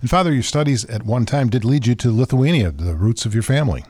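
A man's voice: mid-range voice, lively intonation, quick pace, darkish tone, slightly rough, dry recording. A man hosting a religious radio program who asks questions in a conversational, curious way, with friendly asides. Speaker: radio host. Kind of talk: radio interview.

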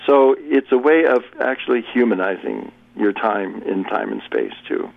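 A man, a bishop and radio guest, speaking in a reflective, halting way with frequent restarts. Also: medium pitch, huge pitch swings, creaky, no room sound. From United States. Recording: tinny phone-line sound.

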